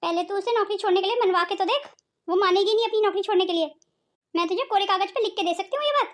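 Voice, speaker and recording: A woman's voice: very high voice, fast. A woman voicing a bossy, suspicious, meddling older sister in a Hindi cartoon family drama, commanding and insistent.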